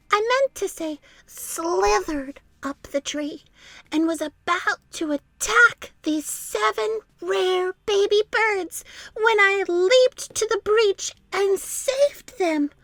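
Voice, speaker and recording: A woman's voice: very high-pitched, slow pace, a bit bright, no room sound, voice pushed up, full character voice. A woman voicing a boastful, melodramatic female storybook animal who tells tales with theatrical exaggeration. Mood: flustered, evasive. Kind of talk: children's storybook character voice.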